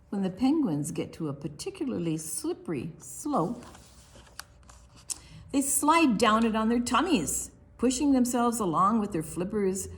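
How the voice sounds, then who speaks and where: A woman reading a picture book aloud for preschoolers, expressively and deliberately, with quiet asides to the young listeners. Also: fairly low pitch. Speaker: children's librarian hosting online storytime.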